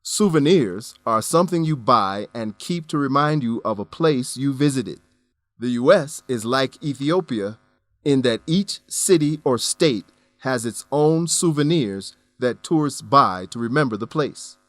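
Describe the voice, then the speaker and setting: A man hosting an English lesson, reading scripted explanations with careful, deliberate enunciation. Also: medium pitch, lively intonation, fairly bright tone, no room sound. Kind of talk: scripted educational narration.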